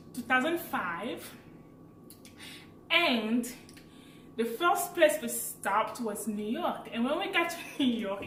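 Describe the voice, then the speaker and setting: A woman in her late twenties from Africa, with a French accent, chatting casually as a vlogger and telling a personal story with lively, laughing energy. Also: sits high, echoey room, recorded from a distance, slight background noise, low-quality recording. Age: late 20s. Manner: chatty and animated, laughs while telling stories.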